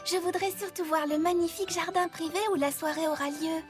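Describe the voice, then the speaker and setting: A woman voicing a shy, gentle, nature-loving female pony in a cartoon dub, timid and apologetic in manner. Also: very high-pitched, steady tone, brisk, over music. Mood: dreamy.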